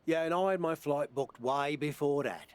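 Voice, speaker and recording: A man's voice: fairly high pitch, moderate pace, clear, resonant tone, natural voice. A man playing a blunt, supportive British wrestling promoter and mentor in a video game cut scene, with a wry manner. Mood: firm, concerned.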